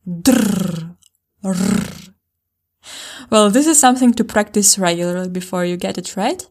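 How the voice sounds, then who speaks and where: A woman from Ukraine, speaking English with a Ukrainian accent, hosting a podcast language lesson and slowly modelling words for learners to repeat. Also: medium pitch, a little mellow. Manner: clear, slow model pronunciations. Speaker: language teacher, podcast host.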